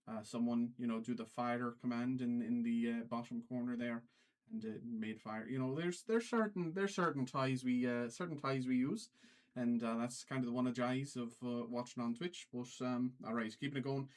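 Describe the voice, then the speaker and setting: Halting man streamer with put-on Irish accent. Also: mid-range voice. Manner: halting, repeats phrases. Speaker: trading card break livestream host. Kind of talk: casual livestream commentary, calling out cards.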